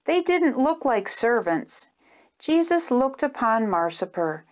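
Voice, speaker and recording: A woman reading a storybook aloud to young children in an expressive storytime style. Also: fairly high pitch, slow. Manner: expressive, simple phrasing aimed at young children. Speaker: children's storytime reader and host.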